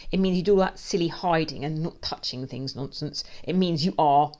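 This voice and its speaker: A woman's voice: sits low, moderate pace, lightly acted. A woman voicing a big, greedy male sewer rat in a storybook, bullying and mocking in manner. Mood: sneering, threatening.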